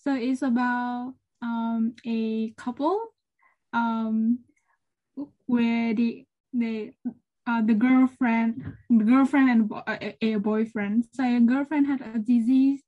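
A woman speaks slowly and hesitantly, searching for words. Her voice is fairly high. It comes through a thin, phone-like call line. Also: steady tone. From Vietnam. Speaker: medical student guest. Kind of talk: casual interview answers. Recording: tinny phone-like call audio.

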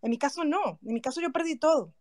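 A woman's voice: sits high, lively intonation, fast talker, a little mellow, no echo, clean studio sound. A woman with a Venezuelan accent speaking earnestly and from the heart on a remote audio call. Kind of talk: personal remarks in an online audio chat room.

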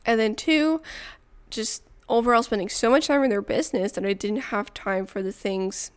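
Smooth-voiced woman hosting. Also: fairly high pitch, moderate pace. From Montreal, Canada. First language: French. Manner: chatty and personal, light laughs. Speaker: podcast host. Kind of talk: solo podcast monologue.

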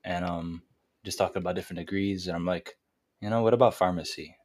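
A man's voice: sits low, steady tone, quick pace, a little mellow. An eighteen-year-old college student, a man, chatting hesitantly as a podcast guest with many fillers. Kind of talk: casual podcast chat.

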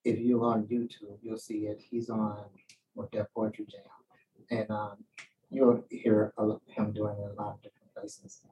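A man's voice: on the low side, normal speed, dull audio. A man speaking casually and reflectively as a poet and teacher answering questions, acting out bits of remembered speech as he tells stories. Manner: animated storyteller, acts out quoted remarks. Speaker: poet and writing teacher giving a talk. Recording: distant mic with faint background noise.

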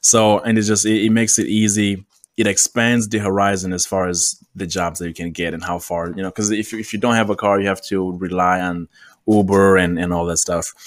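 Laid-back lower-voiced man, casual chat. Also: no room sound, clean studio sound. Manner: laid-back, loose and joking. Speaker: podcast guest. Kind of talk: casual conversation.

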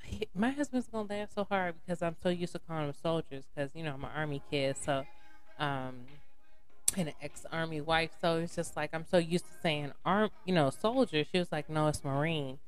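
Woman, low voice, chatty podcast guest, rambling, faint music bed. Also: a little fast. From North Carolina, USA. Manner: chatty, rambling with many fillers, calls people honey. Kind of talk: casual podcast chat.

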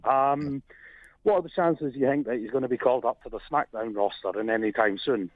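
A man's voice: medium pitch, fairly quick, no echo. Scottish man calling in over the phone. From Scotland. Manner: friendly, hesitant, many fillers. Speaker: caller. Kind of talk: phone-in call to a talk show. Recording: tinny phone line.

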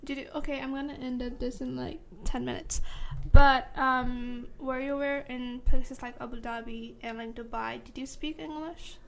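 A woman's voice: high voice, steady tone, moderate pace, gravelly, hardly any pauses, a little hiss or hum. A young woman hosting a casual podcast, talking hesitantly with lots of fillers. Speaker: podcast host.

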